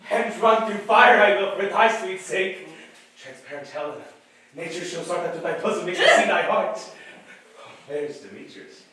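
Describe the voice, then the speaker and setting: A man's voice: a little high, a little fast, close to an everyday voice. A man playing an ardent, impulsive young lover, declaiming stage verse. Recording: echoey stage, distant mic.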